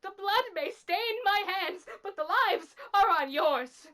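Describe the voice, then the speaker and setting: A woman playing a bitter, remorseful revived killer in a dramatic monologue, speaking in a deliberate, accusing way. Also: very high voice, lively intonation, bright, forceful tone, exaggerated voice.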